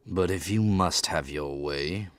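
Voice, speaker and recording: A man playing a sly, menacing devil in an audiobook, with low, formal and deliberate speech. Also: fairly bright tone, no echo, clean studio sound. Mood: grudging. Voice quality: low, growling utterance. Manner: formal, deliberate, archaic phrasing. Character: hooded devil, menacing, sly, resentful beast. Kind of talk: dramatized audiobook acting.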